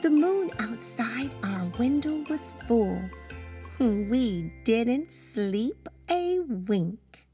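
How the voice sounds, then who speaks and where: Woman as an imaginative young boy, high-pitched storyteller. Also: soft background music, exaggerated voice. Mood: wistful, earnest. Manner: dramatic, expressive, drawn-out delivery. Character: imaginative young boy narrating his space adventure. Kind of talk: animated storybook read-aloud.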